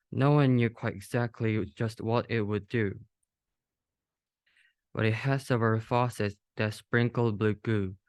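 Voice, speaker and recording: A young man reads a rhyming children's story aloud. His voice is very smooth and clear, pitched a little low, and the sound is dry and close. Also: no room sound. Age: young adult. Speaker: student reader.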